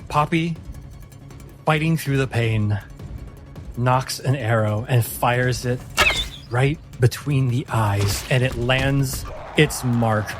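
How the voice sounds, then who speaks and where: A man narrating a tabletop fantasy combat as game master, in a deliberate, vivid storytelling manner. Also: medium pitch. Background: music.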